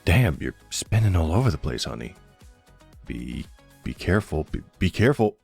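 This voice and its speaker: Man acting as suave middle-aged mob boss, very deep, gravelly, intimate, flirtatious, ASMR roleplay. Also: sing-song, moderate pace, darkish tone, soft background music, exaggerated voice. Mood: tender.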